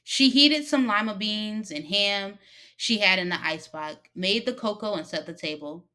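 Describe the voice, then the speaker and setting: A woman narrating a short story expressively, with dramatic pauses and emphasis. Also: mid-range voice, pushed and bright. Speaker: story reader.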